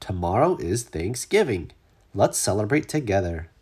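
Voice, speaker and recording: An eager storybook hippo played by a read-aloud narrator, good-natured and fussy about tidiness. He speaks earnestly and exclaims in wide, husky swoops. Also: sits low, big pitch swings, moderate pace, warm tone, heavily acted. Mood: kindly.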